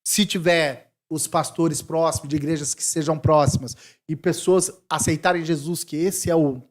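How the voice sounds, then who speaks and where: A man from Brazil in his forties, a missionary talking casually in an interview, animated and thinking aloud with restarts. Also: fairly high pitch, normal speed. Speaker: missionary guest.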